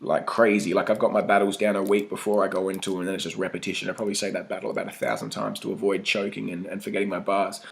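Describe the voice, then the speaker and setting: A young Australian man speaks in a low voice with a gravelly, creaky edge. He talks informally in slang, as if chatting to a documentary camera about his own experience. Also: level tone, rapid-fire, hardly any pauses. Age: young adult. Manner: informal, slangy, mild swearing. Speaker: battle rapper being interviewed. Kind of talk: casual documentary interview.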